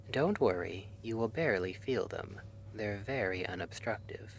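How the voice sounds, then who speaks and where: A man playing a gentle, reassuring clinician at a dream institute in an ASMR roleplay, soft-spoken and soothing, often slipping into a whisper. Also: on the low side, slight background noise, exaggerated voice. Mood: soothing, attentive.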